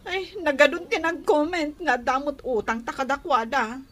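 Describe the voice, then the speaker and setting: A woman playing a gossipy, dramatic female figure in an Ilocano comedy radio drama, exclaiming in drawn-out phrases with an Ilocano accent. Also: high voice, fairly even intonation, natural voice. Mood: distressed. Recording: clear background noise.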